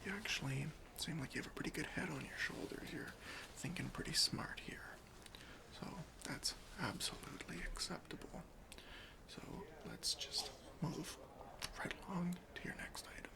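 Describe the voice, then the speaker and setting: A man playing an officious but easily persuaded airport security officer in a whispered, deliberate ASMR roleplay, questioning with mock-stern politeness. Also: on the high side. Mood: sympathetic, approving. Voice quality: whispery, breathy.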